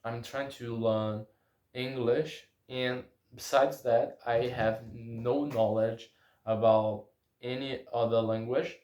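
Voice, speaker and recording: Young guy, portuguese is his first language, talking english slow and halting, echoey room. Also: medium pitch, fairly even intonation, darkish tone. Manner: halting, searches for words in a second language. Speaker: language-learning vlogger. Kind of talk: unscripted personal monologue.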